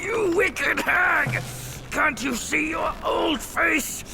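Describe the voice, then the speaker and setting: A shrill male demon spits scorn and yells insults in a very high, gravelly voice. He drags out his words slowly and swings his pitch wildly. Loud game music plays beneath him. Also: expressive, exaggerated voice. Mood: mocking. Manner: shouting insults. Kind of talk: video game cutscene acting.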